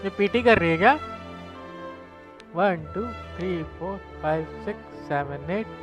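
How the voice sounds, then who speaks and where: A man's voice: sits high, expressive, fast. A young man with an Indian accent, a gaming streamer giving casual, teasing commentary in offhand asides. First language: Hindi. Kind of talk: casual gameplay commentary mixing Hindi and English. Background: game music and dialogue.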